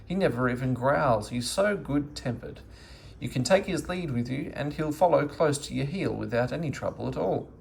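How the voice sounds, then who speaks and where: A man's voice: medium pitch, expressive, moderate pace, vocal fry, a little hiss or hum, rough recording. A man voicing a grumbling, bossy old woman in a children's storybook. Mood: brisk, matter-of-fact. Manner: querulous, bossy complaining. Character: grumbling, scolding old woman with a sore foot. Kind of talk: children's storybook read-aloud.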